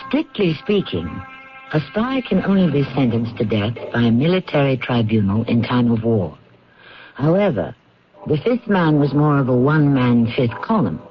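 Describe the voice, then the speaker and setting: A man hosting a radio mystery series, narrating in a measured, formal, rhetorical way on a dull old broadcast recording. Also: mid-range voice, big pitch swings, soft background music. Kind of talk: scripted radio drama narration.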